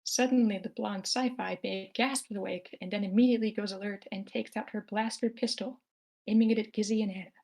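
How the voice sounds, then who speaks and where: A woman's voice: mid-range voice, fairly even intonation, brisk, creaky, a little mellow, low-quality recording. A woman narrating scripted stage directions for a live screenplay table read. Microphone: remote online meeting.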